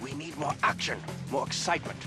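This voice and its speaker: A man playing a ruthless star racer in a video game, haughty and measured, with music behind him. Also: medium pitch, lightly acted. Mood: coolly menacing. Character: calculating, ruthless male star racer villain. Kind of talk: game cutscene acting.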